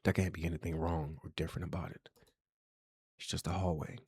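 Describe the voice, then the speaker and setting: Man, horror game narration, deep pitch, hushed, deliberate, dry recording. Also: steady tone, breathy edge, no room sound. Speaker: game streamer, channel host.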